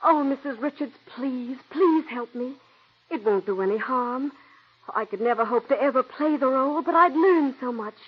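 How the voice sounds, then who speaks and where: An actress in 1940s radio acting, playing an earnest young stage-struck secretary with a pleading manner, on a dull old radio broadcast. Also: female voice, high voice, normal speed, husky. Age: young adult.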